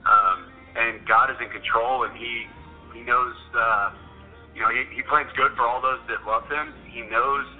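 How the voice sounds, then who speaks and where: A man speaking earnestly and haltingly as a guest, with many hesitations. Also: mid-range voice, steady tone, faint music behind. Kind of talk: recorded testimony. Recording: tinny telephone-like recording.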